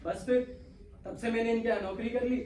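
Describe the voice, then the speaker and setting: A man's voice: very high-pitched, fairly even intonation, a little fast, dark tone. A young man playing an earnest, lovestruck servant, deferential yet defiant, projecting emphatically in a stage comedy. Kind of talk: theatrical Hindustani stage comedy acting. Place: indoor stage, recorded from the audience. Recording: echoey hall, far-off mic, noisy.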